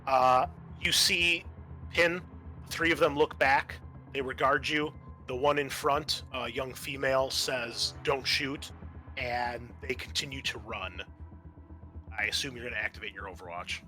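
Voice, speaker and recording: A man's voice: medium pitch, unhurried, bright, forceful tone, soft background music, low-quality recording. A man working as game master, casually narrating scenes and talking through rules with some swearing.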